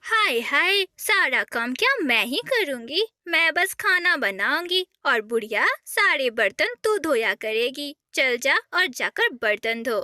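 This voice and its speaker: A woman's voice: high-pitched, big pitch swings, unhurried, hardly any pauses, sharp tone, no echo, clean studio sound, exaggerated voice. A woman doing a bossy cartoon bride bird. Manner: sweetly demanding, bossy. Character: cunning bossy young female bird, new bride.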